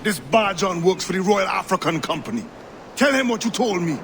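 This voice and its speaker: An actor playing a blunt, weary pirate first mate in a video game, commanding in manner. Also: male voice, sits high, expressive, brisk, fairly bright tone, slight background noise. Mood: brusque. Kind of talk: scripted video game dialogue.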